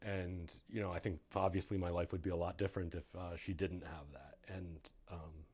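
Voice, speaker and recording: Halting, fairly low-voiced North American man. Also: flat delivery, a little mellow, breathy edge. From suburbs of Boston, United States. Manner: hesitant, halting delivery with many pauses. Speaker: interview guest, nonprofit development director. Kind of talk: casual podcast interview.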